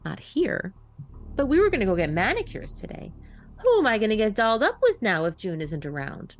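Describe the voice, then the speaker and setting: A woman voicing an anxious, flustered aunt in a dramatic audio story, halting and emphatic. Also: medium pitch, wildly swooping pitch, faint music behind, voice pushed up. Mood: pleading. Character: anxious, flustered mother-figure aunt, irritable and overwhelmed.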